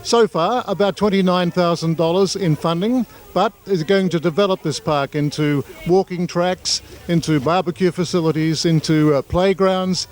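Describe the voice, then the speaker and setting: A man's voice: sits high, normal speed, hardly any pauses, darkish tone. Casting: upbeat Australian male field reporter, lightish voice. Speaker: news reporter. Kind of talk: scripted news report.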